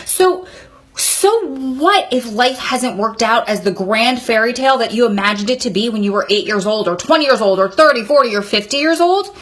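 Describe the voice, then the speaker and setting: Female, around 40, fairly high voice. Advice vlogger: earnest, conversational, speaking directly to viewers. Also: lively intonation, moderate pace, fairly bright tone. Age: 39, nearly 40. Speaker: vlogger advising prison wives. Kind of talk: candid advice vlog, talking to camera.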